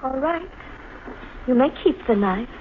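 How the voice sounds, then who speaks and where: High, plaintive unhinged young wife. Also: female voice, lively intonation, unhurried, husky, full character voice. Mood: cold, menacing. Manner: melodramatic, plaintive, childlike. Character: unhinged young wife, childlike, possessive, quietly murderous. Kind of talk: 1950s radio thriller acting. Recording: noisy, tinny 1950s radio recording.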